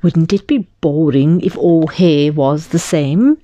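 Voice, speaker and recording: Woman doing a joyful mother, gentle, reassuring, unhurried, emphatic, storybook. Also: low-pitched, dry recording, muffled recording. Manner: warm, reassuring, slow and emphatic.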